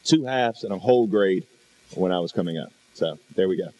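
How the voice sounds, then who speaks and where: Lower-voiced joking man from South Carolina. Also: fairly quick, darkish tone, dull audio. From Denmark, South Carolina. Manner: joking, easygoing. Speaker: panelist, former state legislator. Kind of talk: self-introduction on a panel.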